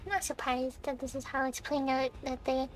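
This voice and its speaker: A woman's voice: high voice, flat delivery, fairly quick, rough recording. A woman live streamer giving casual, playful reaction commentary as she plays a video game. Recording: game music behind the voice. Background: video game music.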